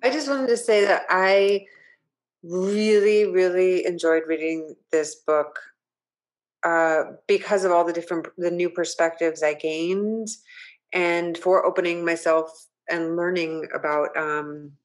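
A woman's voice: lowish voice, fairly even intonation, a little slow. A woman in her thirties talking casually and appreciatively in a group discussion on a video call. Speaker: book discussion participant. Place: plain indoor room, video call. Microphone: computer mic on video call.

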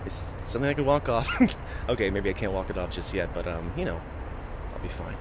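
Man acting a defensive, flustered star musician, animated. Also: low voice, background noise. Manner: halting, flustered, sarcastic. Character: injured famous male musician, defensive, sarcastic, guarded, flustered. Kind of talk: cinematic audio roleplay, spoken to the listener.

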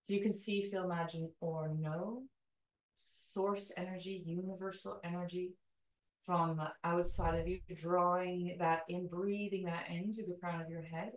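A woman leading a guided meditation in a soothing, calming way, with slow, deliberate phrases and long pauses. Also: on the low side. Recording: roomy echo, distant from mic.

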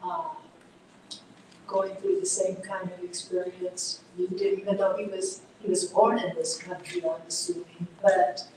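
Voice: mid-range voice, very animated, measured pace, a little mellow, slight background noise. An audience member asking the speaker a long, winding question, with fillers throughout. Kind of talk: audience question at a public talk. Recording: echoey hall from far off.

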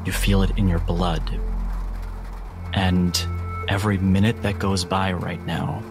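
A man narrating a tabletop game as game master in a deliberate, atmospheric style with dramatic pauses. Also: deep voice, level tone, over music.

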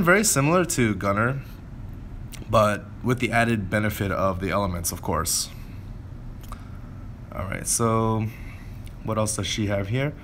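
A man's voice: lowish voice, a bit bright, slight background noise. A man giving casual gameplay commentary, informally thinking aloud as he plays. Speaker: gameplay video creator.

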